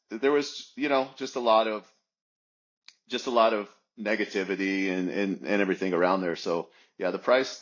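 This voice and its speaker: A man in his forties with a fairly low voice answers interview questions in a loose, rambling way, full of ums and restarts. The audio is muffled and lo-fi. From United States. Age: 40s. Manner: rambling, many fillers and restarts. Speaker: guest. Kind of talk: casual interview talk.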